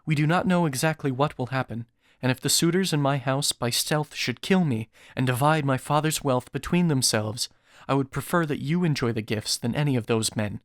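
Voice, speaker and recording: A man voicing an earnest young prince, firm, calm and formal, in an epic audiobook. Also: medium pitch, normal speed, no room sound, clean studio sound, close to an everyday voice. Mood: cautious, resolute.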